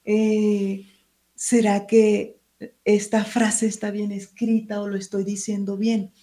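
A woman's voice: medium pitch, level tone, slow, warm tone. A woman, a writer and teacher, who speaks Spanish as her first language, answering interview questions in a hesitant, searching way and reading from her book.

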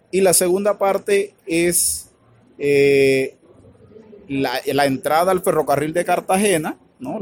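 A man with a Colombian Caribbean accent, answering interview questions in a halting, thoughtful way with many filler words. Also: on the high side, a little mellow, slight background noise. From Colombia's Caribbean region. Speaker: author and academic guest. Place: radio booth at a book fair stand.

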